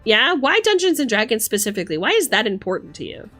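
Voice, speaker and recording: A woman's voice: a little high, big pitch swings, quick pace, a bit bright, soft background music. A woman hosting a livestream, talking casually and emphatically with plenty of swearing as she rants and chats with commenters. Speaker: livestream host.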